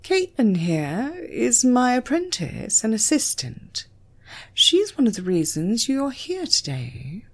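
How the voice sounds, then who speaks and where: A woman plays a bold British female lecturer in an audio story. She is unhurried and in command, teasing yet matter-of-fact, with a very lively pitch. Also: heavily acted. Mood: amused.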